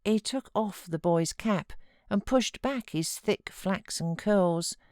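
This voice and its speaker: A woman with a British accent giving measured, expressive literary narration as an audiobook reader. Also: mid-range voice, no echo, studio quality.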